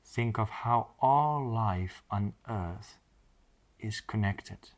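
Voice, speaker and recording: A man with a fairly low voice guides a meditation. He speaks extremely slowly, in short soothing phrases. Long, measured pauses separate each instruction.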